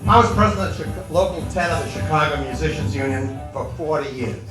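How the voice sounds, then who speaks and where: A man's voice: on the high side, quick pace, natural voice. An American man playing a brash, proud old Chicago union boss in a stage monologue, punchy and colloquial. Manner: colloquial, punchy, self-justifying storytelling. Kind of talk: first-person dramatic monologue on stage. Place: echoey event hall before an audience. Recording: echoey hall, distant mic, music.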